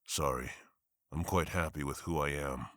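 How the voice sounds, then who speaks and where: A man's voice: a bass voice, fairly even intonation, normal speed, a bit bright, no room sound, studio quality. A man as a bitter, driven prosecutor. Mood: sardonic. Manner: sardonic, heated. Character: driven, bitter male district attorney.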